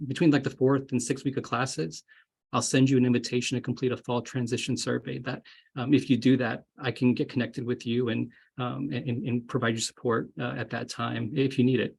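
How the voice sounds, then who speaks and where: A man's voice: medium pitch, gravelly, a little mellow, husky. A man in his thirties, an associate dean, talking casually to incoming students over a video call, friendly and rambling with many fillers. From grew up moving around, largely Florida. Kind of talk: casual webinar talk. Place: home or office, indoors.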